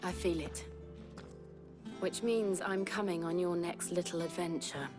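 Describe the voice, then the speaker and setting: An actress as a spirited young woman in a fantasy game. Her voice is fairly low and determined, with plucked guitar music clearly behind it. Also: fairly even intonation, a little slow, lightly acted. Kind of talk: dramatic video game acting.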